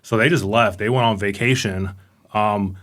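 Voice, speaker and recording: A man's voice: lowish voice, steady tone, moderate pace, fairly bright tone. A middle-aged man from Romania, raised in Los Angeles, chatting casually as an interview guest, filling his speech with "right?" and "you know". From born in Romania, raised in Los Angeles. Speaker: guest, command sergeant major.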